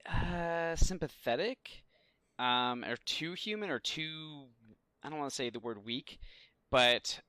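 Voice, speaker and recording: A man's voice: fairly high pitch, takes it slowly, sharp tone, smooth, no room sound. A man co-hosting a podcast in casual chat, thinking aloud with some hesitation as he works out his opinions. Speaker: podcast co-host. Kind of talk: casual chat, pop culture discussion.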